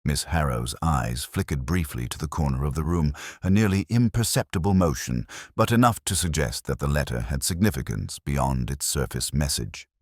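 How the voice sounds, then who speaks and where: A man narrating a scripted mystery story as an audiobook, in a measured, formal storytelling manner. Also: rumbling low, animated, no echo, studio quality.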